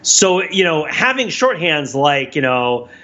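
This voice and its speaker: A man's voice: on the high side, sing-song, slow, bright, forceful tone, highs cut off. A man co-hosting a podcast in casual, analytical chat, thinking aloud in a discursive way. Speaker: podcast co-host.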